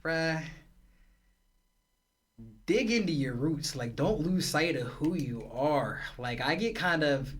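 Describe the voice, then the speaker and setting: A man guesting on a casual podcast, telling stories in a lively, slang-heavy way. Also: a little high, takes it slowly, long pauses. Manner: animated storyteller, heavy slang.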